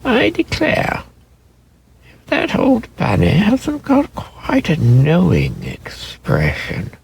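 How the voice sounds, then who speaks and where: A man voicing a brisk, fussy but fond nursemaid woman, grumbling and exclaiming in a dramatic storybook reading. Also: a little high, huge pitch swings, gravelly, slight background noise, pitched above the performer's own voice, heavily acted. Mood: surprised.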